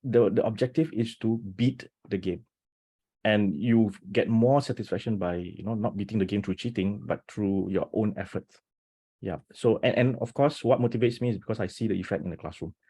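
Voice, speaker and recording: A teacher on a video call webinar, speaking with quick, animated energy and plenty of restarts. His voice sits low and mellow, with a husky edge. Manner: animated, talks fast with many restarts and rhetorical "right?". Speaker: teacher presenting at an education webinar. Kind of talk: enthusiastic webinar talk.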